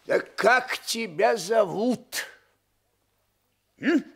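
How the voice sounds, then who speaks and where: Creaky-voiced, slow, menacing police interrogator. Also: male voice, high-pitched, fairly bright tone, heavily acted. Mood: gloating, accusing. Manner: theatrical, muttering to himself, sudden barks. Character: tsarist police officer interrogating a prisoner, smug, menacing. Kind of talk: Soviet radio drama acting.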